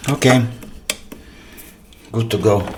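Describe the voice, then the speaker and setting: A deep-voiced man talks slowly and half to himself as he works at his bench, dropping short remarks between tasks. The clink and clatter of tools carries clearly behind his voice. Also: noisy background. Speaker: repair channel host. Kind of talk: quiet workshop commentary while repairing. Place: workbench.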